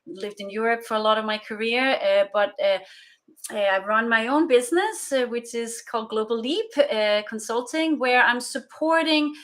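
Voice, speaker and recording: A woman's voice: on the high side, moderate pace, fairly bright tone. A woman from Denmark with a Danish accent, a guest answering interview questions in a thoughtful way with frequent filler "uh"s. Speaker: guest, remote collaboration consultant.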